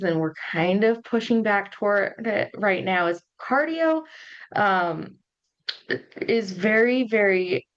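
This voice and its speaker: Woman in her thirties, casual solo podcast host, a bit hoarse, chatty with ums, slightly dull lo-fi sound. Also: mid-range voice. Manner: chatty, conversational, frequent ums and likes. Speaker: podcast host and postpartum nutrition coach.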